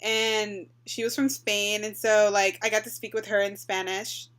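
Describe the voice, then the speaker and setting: A woman's voice: mid-range voice, little pitch movement, unhurried, a bit bright. A woman in her twenties from Texas, talking casually to her webcam with lots of "um" and "like" and a few Spanish phrases, recorded in a small bedroom on a built-in webcam mic. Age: 20s. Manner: chatty, frequent "um" and "like", mixes in Spanish phrases. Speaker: vlogger. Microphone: built-in webcam mic, close.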